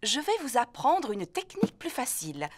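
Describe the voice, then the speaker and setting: A woman's voice: fairly high pitch, wildly swooping pitch, moderate pace, background noise. A woman voicing a cheerful, patient mother sheep in a French cartoon dub. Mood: cheerful, instructive. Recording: music behind the voice.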